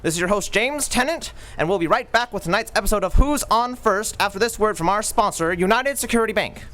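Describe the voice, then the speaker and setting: A man's voice: high voice, lively intonation, brisk, a bit bright, slight background noise. A man hosting a live old-time radio show on a museum stage, announcing in a formal, scripted style.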